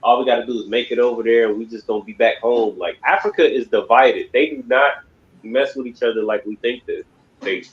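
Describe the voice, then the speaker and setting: A man's voice: medium pitch, fairly quick. Rambling man teaching a class, lo-fi. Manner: rambling, emphatic, repeats "you know what I mean". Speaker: class instructor. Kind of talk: religious class lecture.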